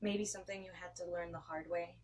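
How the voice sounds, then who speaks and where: A woman's voice: lowish voice, steady tone, fairly quick, a little hiss or hum. A woman hosting an interview, asking long, winding questions. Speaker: interview host.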